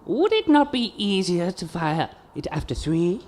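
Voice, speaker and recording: A woman's voice: on the low side, big pitch swings, a little slow, darkish tone. A woman playing a meek, literal-minded villain's sidekick in a comic radio play. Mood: meek, puzzled. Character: eager, dim, obedient villain's sidekick.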